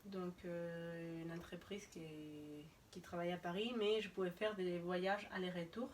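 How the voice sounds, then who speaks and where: A woman's voice: lowish voice, steady tone, unhurried, a little mellow, distant mic, a little hiss or hum. A woman in her thirties from Spain, a guest in an interview, chatting casually with frequent hesitation fillers. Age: 30s. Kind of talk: casual conversation.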